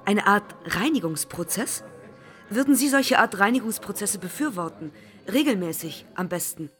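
An actress plays an insistent woman journalist in a radio play. Her voice sits at a middle pitch and carries a probing, questioning manner. Music is faint behind her. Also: lively intonation, normal speed, fairly bright tone, close to an everyday voice. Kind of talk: German radio play acting.

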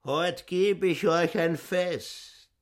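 An actor playing a simple, obsessed village blacksmith in a radio play, with a halting, heavily dramatic manner. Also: male voice, high voice. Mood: brooding excitement.

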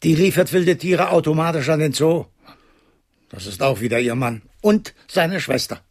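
Old scared vet in a radio drama, raspy husky voice, resigned, explains wearily, very dry sound. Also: male voice, fairly high pitch, expressive, normal speed, no room sound. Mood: defensive, bitter. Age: 70s. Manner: weary, apologetic, explaining. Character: fearful, resigned seventy-year-old male animal doctor.

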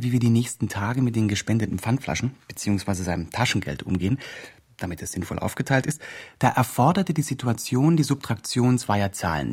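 A man's voice: medium pitch, darkish tone, husky. An actor playing an earnest, upbeat young fundraising campaigner who narrates his progress in an eager, self-important way. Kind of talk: scripted campaign diary narration.

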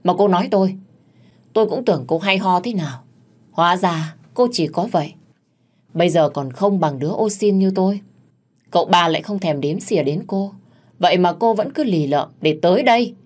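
A woman voicing a stubborn young housemaid in an audio story, talking back defiantly with a sharp tongue. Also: fairly low pitch, normal speed, close to an everyday voice. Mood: mocking retort. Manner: retorting, stubborn.

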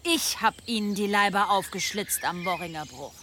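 A woman's voice: mid-range voice, moderate pace, a bit bright, natural voice. A woman in a German radio play, playing a proud, mocking, blunt medieval warrior woman. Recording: noisy outdoor ambience, birdsong.

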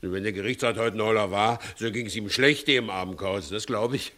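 An actor playing a shifty, blustering village judge in a theatrical 1960s German radio verse comedy. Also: male voice, lowish voice, fairly quick, bright, forceful tone. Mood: sly, uneasy.

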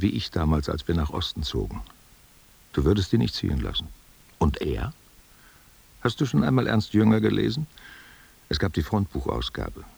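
An actor playing a gruff but tender, brooding old man in a literary radio play, reflective and patronising. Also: extremely low pitch, a little mellow, full character voice. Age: older. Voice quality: husky, gravelly.

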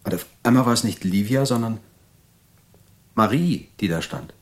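Male actor as troubled asylum patient telling his strange story, low voice, radio play monologue. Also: lively intonation, moderate pace, natural voice. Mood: shaken, entranced. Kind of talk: dramatic monologue in an audio drama.

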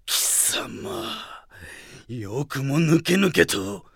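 An actor playing a haughty, imperious, indignant military commander with a gravelly voice in a radio drama. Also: male voice, on the high side, sing-song, very slow, fairly bright tone, dry recording, studio quality, heavily acted. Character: haughty, irate male army commander. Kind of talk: Japanese radio drama acting.

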